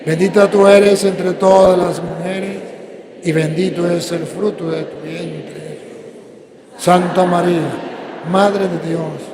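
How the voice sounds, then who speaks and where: Venezuelan priest preaching in a reverberant hall. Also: male voice, high-pitched, fairly even intonation, very noisy. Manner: preaching with slow, emphatic stresses, then plain appeal. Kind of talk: sermon and prayer.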